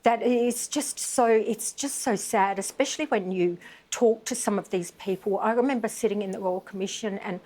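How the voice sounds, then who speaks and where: A woman's voice: medium pitch, moderate pace, warm tone. A middle-aged woman, an advocate giving a live TV interview, emphatic and impassioned with many fillers. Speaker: bereaved mother and veterans' advocate.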